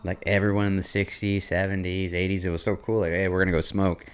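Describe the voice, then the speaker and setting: A woman's voice: rumbling low, fast, clear, resonant tone. A woman hosting a podcast, chatting casually with an easygoing manner and putting questions to her guests. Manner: relaxed, easygoing, asks questions. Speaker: podcast host. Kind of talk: casual chat.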